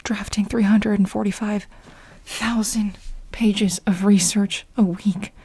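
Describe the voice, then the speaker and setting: A woman playing an anxious, needy lab researcher in a soft-spoken ASMR role play, breathy and often slipping into a whisper, halting and rambling. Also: medium pitch, steady tone. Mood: overwhelmed, confused. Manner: halting, rambling, emotional, repeats herself.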